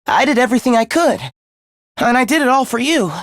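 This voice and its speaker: A man's voice: extremely high pitch, lively intonation, a little fast, fairly bright tone, no echo, clean studio sound, full character voice. An actor voicing an obsessive young man in a video game, devoted to his comrade as to a god, with theatrical, intense, florid delivery. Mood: eager, confiding. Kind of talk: English video game voice acting, dramatic monologue.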